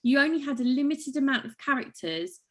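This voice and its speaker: Sarcastic, fairly high-voiced woman co-host. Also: lively intonation, fairly quick. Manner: sarcastic, laughs loudly. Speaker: podcast co-host. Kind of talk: casual chat.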